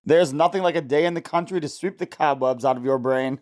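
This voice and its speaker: A man's voice: fairly high pitch, a little fast, a little mellow, natural voice. Steadfast scientist husband, played by a man, radio drama. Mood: light, encouraging. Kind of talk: old-time radio drama acting.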